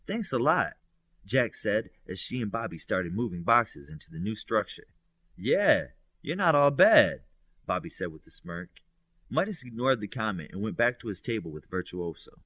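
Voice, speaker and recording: Expressive man reading a story aloud. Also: on the low side, dry recording. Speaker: audiobook reader. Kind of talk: scripted fiction narration with lifted character dialogue.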